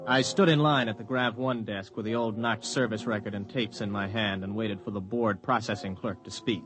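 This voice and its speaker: Man as a low-voiced, blunt spaceman. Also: steady tone, moderate pace, music in the background, close to an everyday voice. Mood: narrating, weary. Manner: plain-spoken, terse. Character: weary, blunt veteran spaceman, a flight officer. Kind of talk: 1950s radio drama acting with first-person narration.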